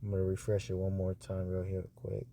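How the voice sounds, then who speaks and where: A man talking casually and off the cuff as he reviews narrator auditions, halting and repetitive, with a reassuring manner. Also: low-pitched, completely flat delivery, dark tone, slight background noise.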